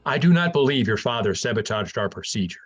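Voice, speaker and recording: Older male professor, played by a man: husky, very varied intonation, patient mentor with gentle wit, stage drama, slightly dull recording. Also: medium pitch, sing-song, fast talker. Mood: fond, earnest. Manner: patient, explanatory, conversational. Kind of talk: staged reading of a science fiction play.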